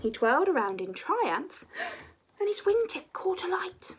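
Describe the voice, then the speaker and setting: A woman reading a children's story expressively, often dropping to a hushed, breathy delivery. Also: very high-pitched, big pitch swings, unhurried, slight background noise.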